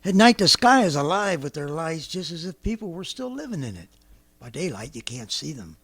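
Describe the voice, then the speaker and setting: A man's voice: on the high side, sing-song, brisk, a bit bright, husky, exaggerated voice. An actor playing a rambling, boastful former militiaman who laughs to himself as he lays out his survival plan, in 1930s radio drama style. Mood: scheming.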